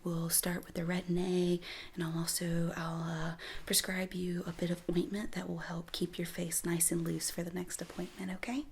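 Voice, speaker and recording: A woman speaking in a soft, breathy near-whisper, gently reassuring as she plays a dermatologist in an ASMR roleplay, explaining each step. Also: lowish voice, flat delivery, moderate pace, slight background noise. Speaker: ASMR performer playing a dermatologist.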